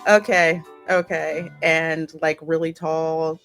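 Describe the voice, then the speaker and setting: A woman's voice: low voice, flat delivery, slow, a bit bright, faint music behind. An American woman casually commenting as host of a gaming channel. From United States.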